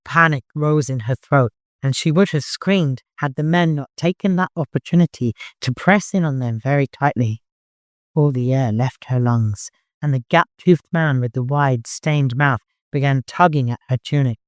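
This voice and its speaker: A man narrating fiction as an audiobook, in an expressive, dramatic storytelling manner. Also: mid-range voice, moderate pace, dry recording, studio quality.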